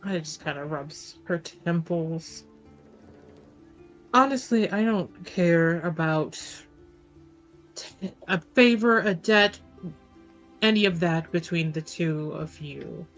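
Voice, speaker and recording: A woman playing in a tabletop roleplaying game, talking conversationally, partly in character. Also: fairly low pitch.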